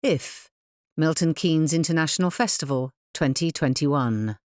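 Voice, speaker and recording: An audio describer reading scripted credits in a measured, plainly announcing manner. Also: medium pitch, a little mellow, no echo, studio quality.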